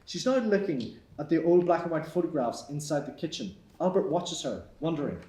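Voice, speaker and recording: A man reading stage directions aloud at a live table read, even and matter-of-fact, in an echoey theatre. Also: a little high, a little fast. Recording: echoey hall.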